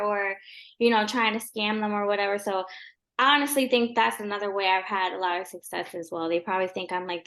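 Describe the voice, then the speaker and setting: A woman real estate agent speaking as a guest in a casual interview, with a soft voice and a relaxed, rambling way of talking full of ums and likes. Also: mid-range voice.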